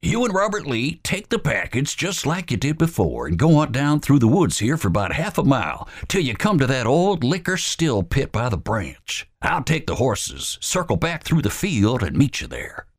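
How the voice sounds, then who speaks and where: A man voicing a calm, commanding man who gives brisk orders. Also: mid-range voice, voice pushed up. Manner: brisk, matter-of-fact instructions.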